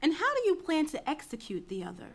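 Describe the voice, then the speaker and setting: A woman's voice: high voice, wildly swooping pitch, fast talker, vocal fry, heavily acted. A woman playing a solemn chorus citizen, declaiming in a dramatic reading of Greek tragedy. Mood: grave.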